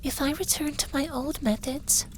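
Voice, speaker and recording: A man voicing an effeminate young dragon lover in an intimate ASMR roleplay, speaking softly and breathily, teasing and commanding yet doting. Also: very high-pitched, fairly even intonation, moderate pace, slight background noise. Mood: teasing, dominant.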